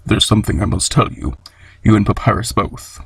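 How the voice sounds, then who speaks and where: A man playing a gentle, solemn monster scientist and father in a fan comic dub, speaking formally and with measured care in a gravelly voice. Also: no room sound, exaggerated voice. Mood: tender, grave. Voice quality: low, gravelly, husky.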